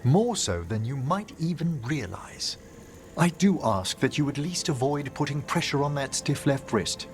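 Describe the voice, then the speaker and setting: An actor playing a courtly, fastidious older gentleman scholar in a video game, speaking tenderly in formal, precise phrases. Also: medium pitch, expressive, soft background music, lightly acted. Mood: warm, concerned. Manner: genteel, formal, precise wording. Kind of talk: video game cutscene acting.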